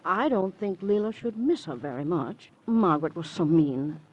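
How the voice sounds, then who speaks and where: A woman's voice: fairly low pitch, animated, moderate pace, breathy edge. An actress playing a chatty, gossipy female neighbor with a hesitant, confiding way of talking, in 1950s radio drama style. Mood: confiding, insinuating. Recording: muffled, hissy old radio recording.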